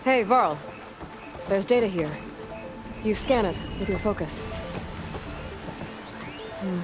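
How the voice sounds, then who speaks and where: An actress playing a wary, resolute young outcast huntress in a video game, speaking tersely and watchfully, often as if thinking aloud. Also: female voice, mid-range voice, lively intonation, slow. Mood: serious, resolute. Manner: terse, watchful, often muttering thoughts to herself. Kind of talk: naturalistic video game acting. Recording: game soundtrack music behind the voice.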